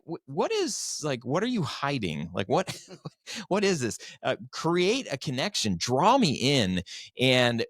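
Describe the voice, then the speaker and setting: Low-voiced man in earnest conversation. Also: a bit bright, dry recording, studio quality. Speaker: podcast guest, video company founder. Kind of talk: conversational interview talk.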